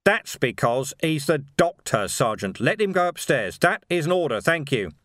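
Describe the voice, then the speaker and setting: A man voicing an exhausted, gruff male police commander who speaks in clipped, urgent commands. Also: a little high, very animated, normal speed, fairly bright tone, no echo, clean studio sound. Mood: weary.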